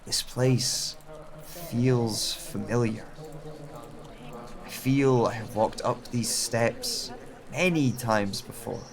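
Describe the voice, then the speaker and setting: A man playing a brooding wanderer with lost memories, narrating an audio drama in the first person in a measured, reflective way. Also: mid-range voice. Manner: measured, reflective, with deliberate pauses. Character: brooding amnesiac wanderer narrating his journey. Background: chatter of other voices.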